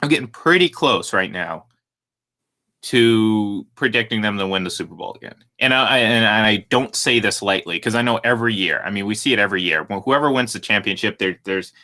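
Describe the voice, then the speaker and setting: A man hosting a sports talk radio show, energetic and rambling, who laughs easily. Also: fairly low pitch, a little fast. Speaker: radio host.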